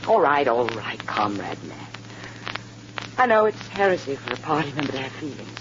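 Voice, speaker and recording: Very animated, coy woman. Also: rumbling low, moderate pace. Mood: wistful, seductive. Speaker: actress. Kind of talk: old-time radio drama acting. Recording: noisy muffled old radio recording.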